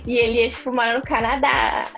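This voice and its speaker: A woman from Brazil chatting as an interview guest, animated and laughing, with many restarts. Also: a little high, brisk, vocal fry, loud music behind the voice. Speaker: interview guest, English learner. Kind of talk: casual live interview chat.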